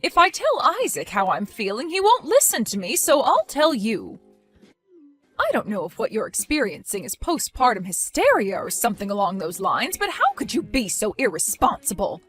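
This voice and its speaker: A woman voicing an indignant older woman who scolds and accuses in a lively, high voice. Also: moderate pace, breathy edge, faint music behind, exaggerated voice. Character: furious indignant older woman caring for a baby.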